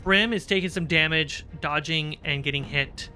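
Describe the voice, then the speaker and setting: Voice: mid-range voice, normal speed, pushed and bright, a little hiss or hum. A game master narrating tabletop fantasy combat and casually talking through rules. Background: background music.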